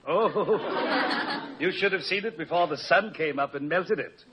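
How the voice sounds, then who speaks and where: A man's voice: on the high side, measured pace, fairly bright tone, a little hiss or hum. An actor playing a vain, self-satisfied college professor in a 1950s radio comedy, pompous, hemming and fussy in his talk. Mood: cheery. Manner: pompous, hemming, chuckles at himself. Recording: muffled old radio recording.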